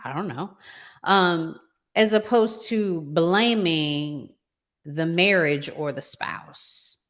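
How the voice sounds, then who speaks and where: A woman with a slightly low voice speaks at an unhurried pace as a talk show host. She gives advice conversationally and leans on key phrases, repeating them for emphasis. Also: fairly bright tone.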